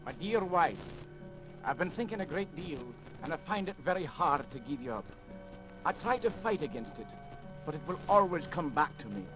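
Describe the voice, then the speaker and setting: Guy doing yearning husband letter voice, high and swoopy, old-time radio with music. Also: expressive, normal speed, natural voice, poor audio. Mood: wistful, earnest. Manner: earnest, yearning. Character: wistful, conflicted husband voicing his letter home. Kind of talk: 1940s radio drama acting.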